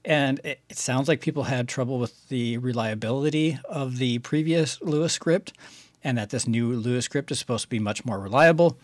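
A man's voice: mid-range voice, no room sound. A middle-aged man with an American accent hosting a casual tutorial, explaining things step by step in a conversational way. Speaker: video tutorial host.

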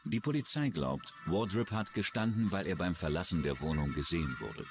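A man's voice: low-pitched, expressive, moderate pace. A man narrating a true-crime documentary in a scripted style. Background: background music.

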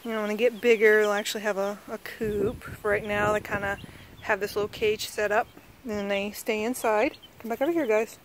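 A woman's voice: medium pitch, steady tone, a little fast, a little hiss or hum. A woman talking casually to her phone camera outdoors in a backyard, coaxing her pets in a sing-song voice. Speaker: vlogger. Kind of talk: casual chat. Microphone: handheld phone camera.

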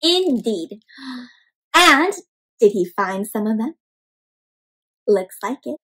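A young woman reading a picture book aloud to children, animated and acting out the characters while asking the kids questions. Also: fairly high pitch, takes it slowly, breathy edge. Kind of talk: interactive read-aloud for kids. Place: home room with decorated wall.

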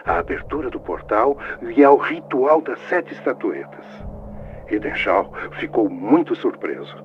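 A Brazilian man playing a scheming, curious occult researcher dictating notes on a dull, hissy cassette in a dubbed game. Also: lowish voice. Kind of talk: dubbed game monologue on tape.

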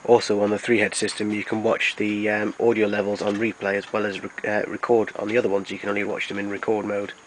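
A man giving an informal, matter-of-fact demonstration as a collector, explaining things in a halting way. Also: on the low side, slight background noise, poor audio. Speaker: collector presenting his tape recorders. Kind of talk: informal product demonstration narration.

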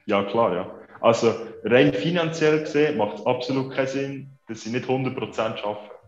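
A man in his thirties with a Swiss German accent talks casually in an interview. His voice sits at a medium pitch. The sound is echoey and a little dull, like a video call from a small room, with faint music behind. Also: moderate pace. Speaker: interview guest, social media creator.

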